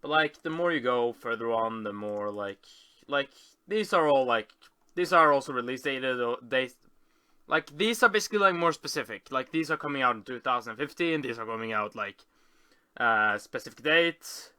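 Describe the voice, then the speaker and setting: A man with a very bright, forceful voice and a clear, smooth tone talks through a casual screen tour. He rambles, stops short and corrects himself as he goes. The sound is close and dry. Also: mid-range voice, animated, dry recording. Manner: rambling, halting, self-correcting. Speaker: video host.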